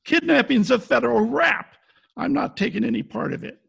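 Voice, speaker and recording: A man playing a cynical, tough-talking private detective in a wry, deadpan 1940s radio style. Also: very high-pitched, moderate pace, creaky, slightly rough, heavily acted. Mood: cold, hard bargaining. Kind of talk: 1940s detective radio acting, narration and dialogue. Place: home, recorded over video call. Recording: video call recording with faint music behind.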